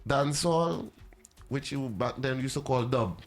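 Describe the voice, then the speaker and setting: A man from Trinidad with a Trinidadian accent, a musician guest in casual interview chat, telling animated stories with Caribbean slang and easy laughs. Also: mid-range voice, measured pace, faint music behind.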